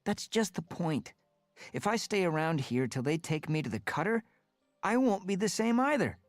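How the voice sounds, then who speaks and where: A man's voice: high-pitched, sing-song, moderate pace, no room sound, soft background music, pitched above the performer's own voice. A man voicing a restless young house tomcat in a storybook reading, speaking in an earnest, firm, determined way.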